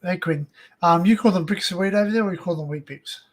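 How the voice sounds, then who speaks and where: An Australian man hosting a casual livestream chat, rambling and joking in an excitable way. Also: sits high, fast talker. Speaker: livestream host.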